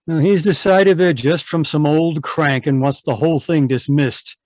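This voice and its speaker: A man playing a calm, shrewd police inspector in a radio mystery, with a polite, measured manner. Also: a little high, dry recording, natural voice. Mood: musing. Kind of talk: 1940s radio mystery acting.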